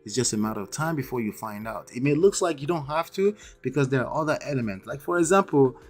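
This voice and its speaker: A man with a thick Nigerian accent talks to his live-stream audience in an emphatic, lecturing way and keeps checking in with "okay?" and "right?". His voice sits at a middle pitch, and faint music plays quietly behind him. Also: expressive, fast. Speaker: livestream host.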